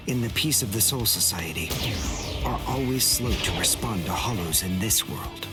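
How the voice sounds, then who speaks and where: A man's voice: on the low side, natural voice. An actor playing a proud, brooding young man with a serious, controlled, bitter delivery in an anime game dub. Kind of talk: English anime game dub, dramatic monologue. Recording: loud music behind the voice.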